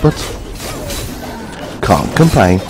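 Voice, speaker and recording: Gaming host, 50s, man: gruff and hoarse from a cold, self-mocking casual chatter. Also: lowish voice, sing-song, drawn-out words. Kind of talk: casual let's-play commentary. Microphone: headphones. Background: game music.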